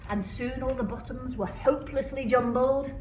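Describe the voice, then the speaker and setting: A woman reading a rhyming children's story aloud like a teacher, with expressive, emphatic delivery. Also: sits high, moderate pace. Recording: echoey room, distant mic, noisy background.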